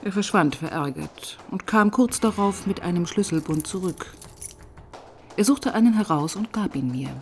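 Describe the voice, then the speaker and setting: An actress playing a composed, probing police detective who narrates and talks through the case in a measured, matter-of-fact way in a crime radio play. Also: female voice, on the low side, darkish tone, a little hiss or hum, close to an everyday voice. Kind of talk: German crime radio play, dialogue and first-person narration.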